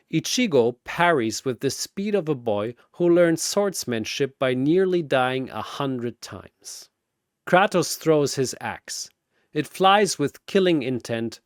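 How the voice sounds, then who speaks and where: A man narrating a scripted battle story in a theatrical way, pausing for emphasis. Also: medium pitch, no echo, clean studio sound.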